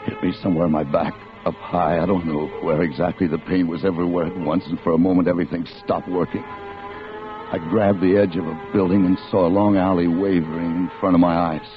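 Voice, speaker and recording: An actor playing a desperate wounded gunman on the run, terse and halting, in an old radio crime drama. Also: low-pitched, fairly even intonation, natural voice. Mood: pained, grim. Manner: terse, halting, hard-boiled. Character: wounded desperate fugitive gunman telling his own flight. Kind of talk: 1940s radio drama acting, first-person crime narration. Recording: muffled vintage radio broadcast with music behind.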